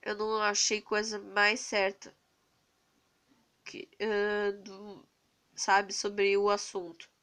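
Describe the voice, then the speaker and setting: Hoarse, stuffy-nosed Brazilian young woman. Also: mid-range voice, monotone, slow, pauses often, a bit bright. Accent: Brazilian Portuguese. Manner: earnest, venting, rambling with restarts. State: congested with a cold, hoarse. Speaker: vlogger. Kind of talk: casual vlog rant. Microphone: phone camera held close to the face.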